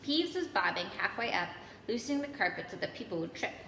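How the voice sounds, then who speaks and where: A woman reading a children's storybook aloud in an expressive narrating style. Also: medium pitch, fast talker. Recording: echoey hall, background noise.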